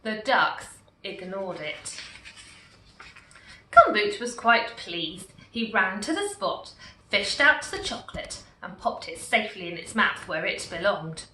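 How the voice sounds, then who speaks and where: Woman reading a picture book aloud, slow and animated, bright voice, echoey room far from mic. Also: medium pitch, slight background noise. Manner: slow, expressive, warmly animated. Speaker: storyteller.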